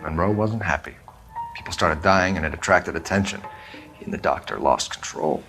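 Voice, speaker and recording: Game lead: dry, grim male detective, deep register, terse, soft music behind. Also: moderate pace. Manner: dry, terse, matter-of-fact. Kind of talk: video game cutscene acting.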